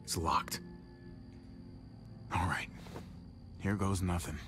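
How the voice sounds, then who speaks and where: An actor playing a gruff, protective man surviving a zombie apocalypse in a video game, muttering in terse, understated lines. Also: low voice, fairly even intonation, slow pace, over music. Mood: wry, muttering. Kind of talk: naturalistic video game voice acting.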